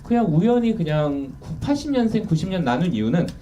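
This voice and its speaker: A man's voice: sits high, very animated, a little fast, warm tone, dull audio. A man in his thirties, an author, talking candidly and self-deprecatingly in a casual interview. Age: 30s. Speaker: author guest. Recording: distant mic with faint noise.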